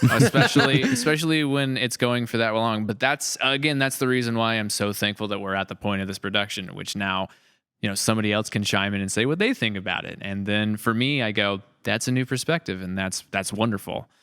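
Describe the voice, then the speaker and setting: Man, deep bright voice, reflective podcast host, halting phrasing, casual interview style. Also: clear, resonant tone, no echo, clean studio sound.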